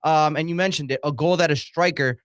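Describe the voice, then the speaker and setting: Slightly high-voiced male soccer analyst, dry sound. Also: fairly even intonation, fairly quick, clear, resonant tone, dry recording. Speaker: soccer analyst co-host, former college player. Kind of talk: conversational match analysis.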